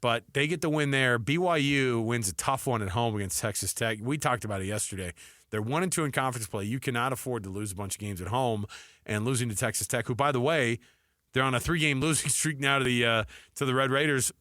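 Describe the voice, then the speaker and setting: A man's voice: medium pitch, fast talker, a bit bright, no room sound. An American man hosting sports talk radio in a chatty, conversational, effusive way on a close studio mic.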